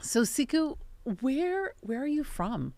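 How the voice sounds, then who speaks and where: A woman's voice: high voice, very animated, slow, darkish tone, no room sound. A woman hosting an interview podcast, asking questions in a friendly, chatty way. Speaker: podcast host.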